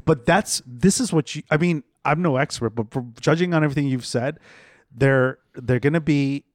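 A man's voice: fairly high pitch, moderate pace, dry recording, studio quality. A man hosting a casual podcast chat, who asks probing questions and teases his guest. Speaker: podcast host.

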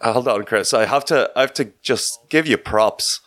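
A man's voice: mid-range voice, moderate pace, fairly bright tone, no room sound. A man co-hosting a sports podcast in casual conversation. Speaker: podcast co-host.

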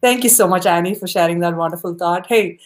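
A woman's voice: on the low side, fast talker. Upbeat woman hosting a live talk show. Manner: upbeat, encouraging, many "you know" fillers. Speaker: podcast host. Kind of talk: casual interview chat.

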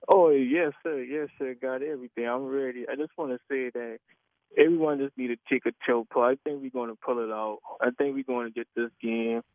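A man's voice: medium pitch, fairly even intonation, a little fast, no room sound. A man phoning a sports radio show to chat casually as a fan. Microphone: telephone. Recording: thin, dry phone line.

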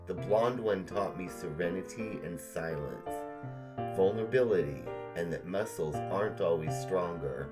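A man's voice: low-pitched, moderate pace, over loud music. A middle-aged man co-hosting a podcast, talking casually and reading aloud for fellow fans. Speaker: podcast co-host. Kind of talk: casual nostalgic chat, dramatic poem reading.

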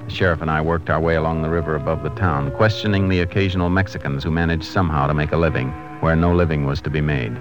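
An actor playing a Texas Ranger narrating his case in a measured, matter-of-fact way for a 1950s radio drama. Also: rumbling low, fairly even intonation, over music. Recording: muffled, faintly noisy 1950s radio broadcast.

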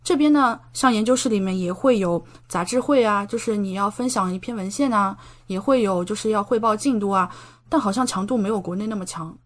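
A woman's voice: mid-range voice, brisk. A young woman from China, a doctoral student speaking as an interview guest, explaining things in a conversational way. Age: young adult.

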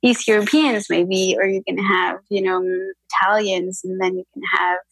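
A woman's voice: medium pitch, fairly even intonation, moderate pace, vocal fry, fairly bright tone, husky, dry recording, poor audio. A young woman with an accent mixing French and Spanish, a yoga teacher talking thoughtfully as a podcast guest, with many fillers and restarts. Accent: French and Spanish influenced. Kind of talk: casual interview chat.

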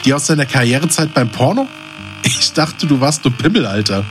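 A man's voice: mid-range voice, sing-song, a little fast, over music, close to an everyday voice. A man playing a brash, cheeky buddy in a comedy audio drama, talking casually in teasing slang. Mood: teasing, exasperated.

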